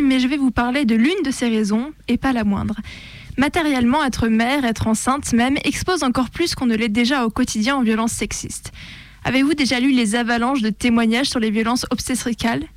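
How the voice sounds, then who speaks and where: A woman's voice: a little high, a little fast, dry recording. A woman with a French accent presenting a scripted opinion piece on radio in a militant, rhetorical, slangy way. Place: radio studio.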